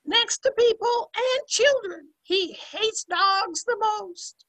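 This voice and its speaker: A woman's voice: very high-pitched, slow pace, a bit bright, heavily acted, poor audio. An older woman playing a brash, sarcastic housemaid in an amateur old-time radio comedy, over a video call. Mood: sardonic. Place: room at home, video call.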